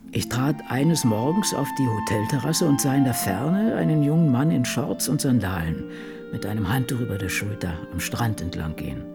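An actress playing a dour novelist in her sixties, musing in a dry, brooding way in a radio play. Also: rumbling low. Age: 60s. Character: dour older woman novelist musing over her life. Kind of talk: radio play monologue, diary reading.

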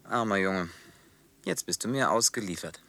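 A man's voice: low voice, moderate pace, fairly bright tone, slight background noise. An actor playing a man who quotes his joking friend, an engineer at a small TV station, in a dry, teasing manner.

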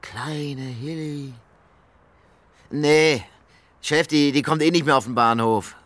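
An actor playing a talkative, sly homeless beggar in a radio crime drama, speaking colloquially and theatrically. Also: male voice, fairly high pitch, slow pace, fairly bright tone, no room sound. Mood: wary, agitated.

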